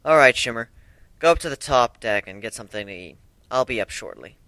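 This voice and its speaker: A man's voice: mid-range voice, expressive, a little fast, sharp tone, clean tone, no echo. A man voicing a tough, joking, protective griffin warrior with a brash, casual way of talking in a story reading. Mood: soothing.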